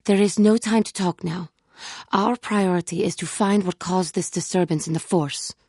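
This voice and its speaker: A proud, troubled female warrior from a fantasy video game. Her voice is on the low side and very husky, with little room sound. She speaks formally and solemnly, as someone burdened by guilt. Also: steady tone, dark tone, no echo, clean studio sound. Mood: contrite. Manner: formal, solemn, deliberate phrasing without contractions. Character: proud, tormented, repentant female fallen Jedi warrior. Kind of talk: dramatic video game character dialogue.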